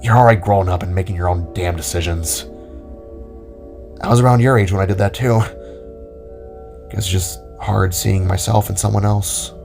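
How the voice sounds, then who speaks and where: Protective older male cousin acted by a man, tired, tender, worried, lowish pitch, audio drama with music bed. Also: steady tone, normal speed, vocal fry, pauses often, a little mellow. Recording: music under the voice.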